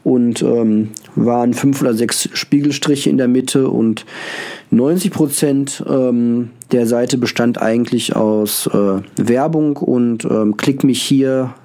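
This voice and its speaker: A man's voice: mid-range voice, slow pace, mellow. A male podcast host with a cold and an occasional cough, talking casually and hesitantly, with many filler sounds and restarts. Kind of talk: casual solo podcast monologue.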